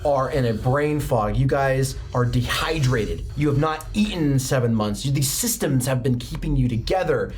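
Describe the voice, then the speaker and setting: Steady male game master narrating. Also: medium pitch, normal speed, hardly any pauses, slight background noise. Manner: descriptive, steady, with occasional fillers. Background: music.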